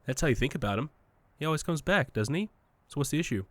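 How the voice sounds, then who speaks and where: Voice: medium pitch, expressive, fast, lightly acted. An actor playing an uncannily cheerful entity that poses as a best friend, overly friendly, coy and needling, in an audio drama. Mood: needling calm.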